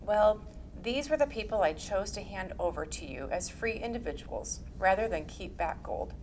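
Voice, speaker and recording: A woman giving a measured, formal scripted reading of a historical religious text. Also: medium pitch, animated, darkish tone, slight background noise, rough recording. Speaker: reader.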